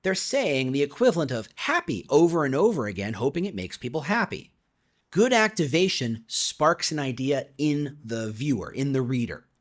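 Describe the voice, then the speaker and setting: A man's voice: medium pitch, big pitch swings, normal speed. A man reading a conversational, scripted explainer in an emphatic way, often putting questions directly to the listener. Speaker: author reading own business audiobook.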